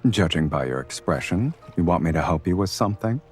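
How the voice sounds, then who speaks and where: An actor playing a calm man on a date in a romance game, with a dry, unhurried, teasing way of talking. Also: sits low, faint music behind. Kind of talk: video game romance acting.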